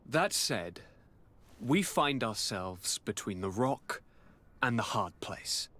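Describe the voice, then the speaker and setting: Animated, flamboyant young nobleman. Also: medium pitch. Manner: theatrical, lively, swinging to whispers. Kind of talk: video game cutscene acting.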